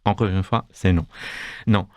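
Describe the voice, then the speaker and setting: Hesitant Romanian-accented man, low voice. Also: steady tone, unhurried, warm tone, no room sound, muffled recording. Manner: hesitant, many restarts and fillers. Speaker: guest, cultural institute deputy director. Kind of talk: radio interview. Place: radio studio.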